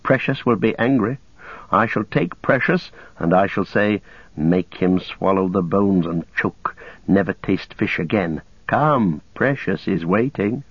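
A man voicing a gentle but firm, anxious hobbit master, coaxing softly and earnestly in a storybook reading. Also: sits low, expressive, a little slow, husky, no room sound, dull audio, heavily acted. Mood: desperate. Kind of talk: storybook character dialogue.

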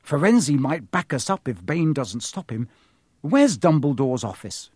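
Earnest, anxious schoolboy, read by a man, slightly raised pitch, storybook role. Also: sing-song, unhurried, a little mellow, slightly rough, no room sound, clean studio sound, exaggerated voice. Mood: urgent. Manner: urgent, earnest.

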